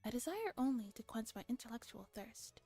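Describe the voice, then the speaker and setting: A woman's voice: a little high, sing-song, fairly quick, warm tone, breathy edge, soft background music, exaggerated voice. A woman voicing a pompous young male self-styled mad scientist in a visual novel, with grandiose, theatrical delivery. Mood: scornful, boastful.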